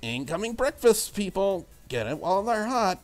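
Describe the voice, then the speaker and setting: A man's voice: high voice, sing-song, normal speed, faint music behind, pitched above the performer's own voice. A man voicing a confident, bossy young woman in a visual novel, talking in a blunt and breezy way. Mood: brisk, upbeat. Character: brash, confident young woman, breakfast cook.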